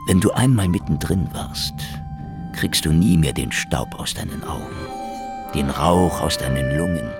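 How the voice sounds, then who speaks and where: Hardboiled soviet cop narrator, super low voice, sardonic and brooding, loud music underneath. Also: male voice, animated, measured pace, exaggerated voice. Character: cynical hardboiled Soviet secret police investigator. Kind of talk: noir radio drama, first-person narration.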